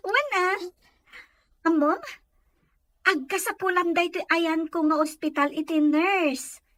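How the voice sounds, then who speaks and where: Very bright, high actress as a chatty friend. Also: female voice, slow pace, dry recording, studio quality, exaggerated voice. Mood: friendly. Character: chatty, friendly woman nurse phoning an old friend. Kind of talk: Ilocano radio drama acting.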